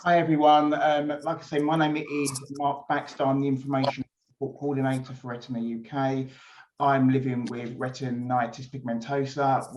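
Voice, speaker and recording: A man's voice: medium pitch, a little mellow. Man introducing himself over a dull call line. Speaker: information support coordinator. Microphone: video call.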